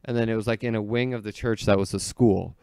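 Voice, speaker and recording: A man's voice: on the low side, fairly even intonation, fast, creaky, warm tone, smooth, no echo, clean studio sound. An American man telling stories in casual, comedic podcast chat, joking and peppering his talk with "like".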